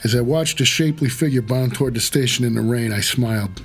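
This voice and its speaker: An actor playing a wry, world-weary cab driver for the dead, hard-boiled and laconic in a noir audio drama. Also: male voice, medium pitch, fast, background noise, lightly acted. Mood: brooding, wry. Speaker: narrator and lead character.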